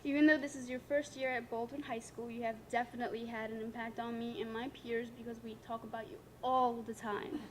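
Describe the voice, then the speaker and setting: A woman's voice: on the high side, little pitch movement, brisk, few pauses, heavy background noise. A young woman, giving a prepared tribute speech as a student, giggly and self-conscious between lines. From Nepal. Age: late teens.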